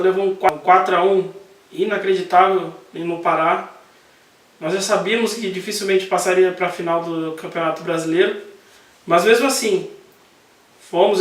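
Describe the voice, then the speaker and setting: Chatty high-voiced Brazilian man, echoey room. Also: far from the mic. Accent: Brazilian Portuguese. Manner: chatty, jokey asides, laughs at himself. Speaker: host. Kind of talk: casual chat to camera. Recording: echoey room, voice a bit off-mic.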